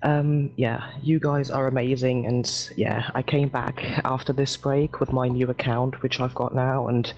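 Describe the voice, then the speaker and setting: A man with an English accent, raised in Germany, talking earnestly and haltingly in a casual voice chat with his gaming guild. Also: mid-range voice, flat delivery, a little mellow, dull audio. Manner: earnest, halting, many restarts. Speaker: guild member. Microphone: headset over voice chat.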